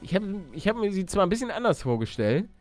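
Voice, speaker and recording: A man doing excitable let's play commentary, exclamatory and slangy, talking to viewers and himself. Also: high voice, quick pace, faint music behind. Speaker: gaming YouTuber and let's player.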